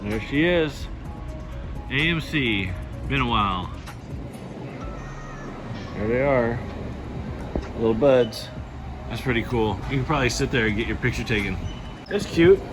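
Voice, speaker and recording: A man hosting a movie and toy vlog, talking in a casual, excitable, slangy way. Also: mid-range voice, unhurried, pauses often, over music. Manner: excitable, slangy, chuckles often. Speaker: host of a toy and movie review channel. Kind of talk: casual, enthusiastic vlog chat.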